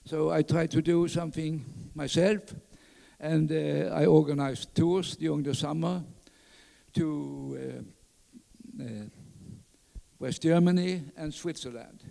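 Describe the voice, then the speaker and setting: An older man from southern Sweden with a Swedish accent, speaking English as a fourth language, giving a halting talk at a lectern full of fillers and restarts. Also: on the high side, fairly even intonation, vocal fry, dark tone. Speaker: conference speaker. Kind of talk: reminiscing conference talk. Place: large conference hall. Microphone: lectern mic. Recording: reverberant hall.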